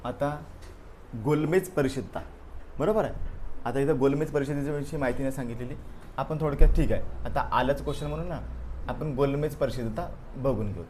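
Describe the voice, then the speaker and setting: A man's voice: mid-range voice, a little fast, a little mellow, slight background noise. A man teaching a live exam-coaching class, going over a past paper and prodding students with frequent "okay" and "right" fillers. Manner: repeats "बरोबर आहे" and "ओके" as fillers, prods students directly.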